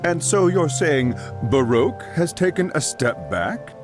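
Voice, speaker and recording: An oily, swooping villain's aide. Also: male voice, very animated, measured pace, over music. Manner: oily, wheedling, pitch swooping widely. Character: sly male aide to a count, fawning and cunning. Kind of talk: dubbed video game cutscene.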